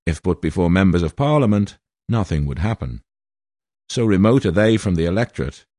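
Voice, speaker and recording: A man in his sixties from Wales delivers a prepared statement in a formal, grandiose and aggrieved manner. His voice sits somewhat low, and the recording is dry and close with no room sound. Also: mellow, no echo. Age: 60s. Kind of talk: prepared statement read aloud.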